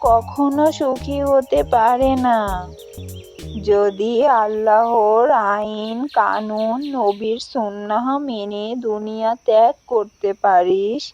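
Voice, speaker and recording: Woman doing gentle old granny in a cartoon, preaching slowly, faint music behind. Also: fairly high pitch, steady tone, highs cut off. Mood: gently advising. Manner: slow, preachy, measured. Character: pious, gentle old grandmother giving advice. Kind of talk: cartoon voice acting.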